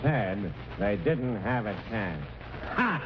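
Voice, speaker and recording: A man voicing a punchy, rapid-fire news commentator in a 1940s radio parody. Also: on the low side, sing-song, voice pushed down. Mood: urgent, brassy. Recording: muffled, noisy 1940s radio broadcast.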